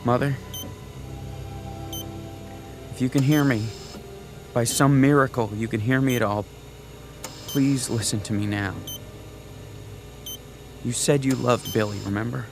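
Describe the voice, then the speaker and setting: An American man acting in a radio drama as an anguished father at his young son's bedside, halting and pleading. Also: medium pitch, fairly even intonation, over music, natural voice. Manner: halting, pleading, repeats words.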